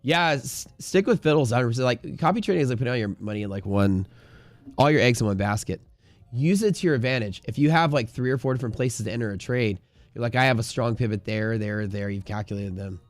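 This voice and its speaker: A man's voice: mid-range voice, fast, no room sound. A man hosting a livestream in casual, slangy, emphatic conversation, answering viewers and giving his opinions. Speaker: livestream host and trading community leader.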